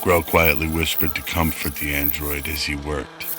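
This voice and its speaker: A man's voice: rumbling low, level tone, moderate pace, fairly bright tone, faint music behind. A man narrating a scripted radio drama.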